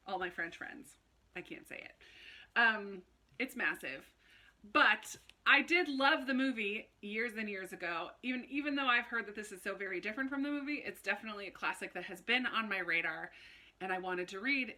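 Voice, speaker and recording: A woman chatting casually to camera like a book vlogger, in an animated, informal way with little self-interrupting asides. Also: medium pitch. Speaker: book review video host. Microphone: phone camera recording.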